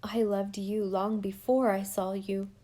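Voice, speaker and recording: A woman's voice: medium pitch, level tone, a little slow, warm tone, close to an everyday voice. A woman reading as a shy, wounded older man. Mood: tender. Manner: impassioned, pleading.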